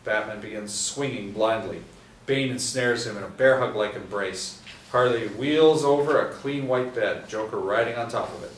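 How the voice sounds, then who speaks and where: Low voiced guy reading out stage directions, echoey room, kinda far off, faint hiss. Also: normal speed. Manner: steady, with small restarts. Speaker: script narrator.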